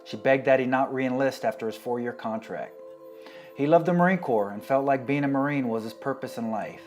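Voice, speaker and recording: A man narrating a documentary in a solemn, measured way, his voice dropping quietly at the end of sentences. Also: medium pitch, soft background music. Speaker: documentary narrator, fellow Marine veteran.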